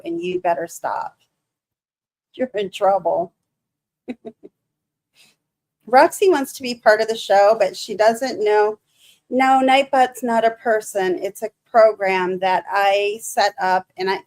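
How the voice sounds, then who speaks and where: A woman with a Michigan accent hosting a casual livestream, chatting to viewers in a halting, nervous way full of restarts and fillers. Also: on the low side, a little mellow. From Michigan, USA. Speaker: livestream host.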